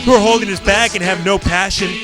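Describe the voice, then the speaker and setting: A man's voice: sits high, steady tone, quick pace. A man performing an exaggerated, sarcastic dramatic reading on a comedy show, with emphatic delivery and mocking asides. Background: music.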